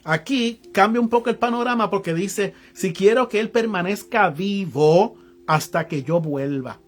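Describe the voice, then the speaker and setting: A man's voice: sits high, a little slow, soft background music. A man with a Puerto Rican accent preaching a sermon, reading Bible verses aloud and explaining them in casual everyday slang. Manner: reads verses aloud then explains them in casual local slang, greets online viewers. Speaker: evangelist preacher.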